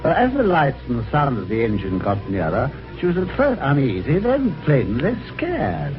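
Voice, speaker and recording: Jaunty British gentleman, wildly animated pitch. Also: normal speed, over music. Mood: wry storytelling. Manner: jaunty, wry, old-fashioned gentlemanly phrasing. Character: genial, chatty, helpful husband telling his adventure. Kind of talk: 1950s radio drama acting and first-person narration. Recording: dull, noisy old radio recording.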